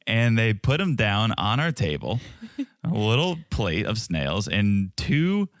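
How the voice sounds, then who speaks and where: A man's voice: lowish voice, very animated, slow pace, no echo, studio quality. A man with a North American accent co-hosting a podcast, chatting casually with a playful, teasing manner. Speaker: podcast co-host. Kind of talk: casual banter and TV recap chat.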